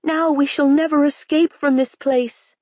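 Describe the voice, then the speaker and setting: A woman's voice: high-pitched, steady tone, unhurried, no echo, pitched above the performer's own voice. A woman voicing a big, loyal male servant who talks in a dry, resigned way. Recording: slightly dull, dry recording.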